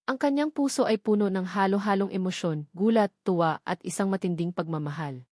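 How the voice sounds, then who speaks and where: A woman's voice: mid-range voice, fairly quick, dark tone, no room sound. Filipino woman narrating, dry close sound. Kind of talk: scripted romance story narration.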